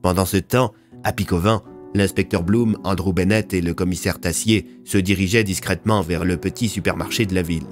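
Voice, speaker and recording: Un homme qui raconte une fiction audio, en narration dramatique écrite. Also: male voice, deep voice, fairly even intonation, brisk, hardly any pauses, faint music behind. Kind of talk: scripted dramatic story narration.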